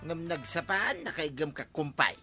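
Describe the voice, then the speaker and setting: Nagging farmer husband, Ilocano accent, fairly high forceful voice, radio comedy drama. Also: male voice, normal speed, faint music behind. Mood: chiding. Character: nagging farmer husband, fond of his fields.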